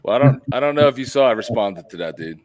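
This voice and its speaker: A man co-hosting a motorcycle channel livestream, animated and jokingly defensive, laughing as he talks. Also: medium pitch, quick pace. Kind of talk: casual livestream chat.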